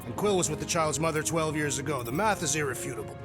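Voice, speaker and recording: A man voicing a huge, solemn, literal-minded alien warrior in a video game, speaking formally and bluntly in a deadpan manner. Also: on the high side, fast, over music, natural voice. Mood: gloating, blunt. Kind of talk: video game character acting.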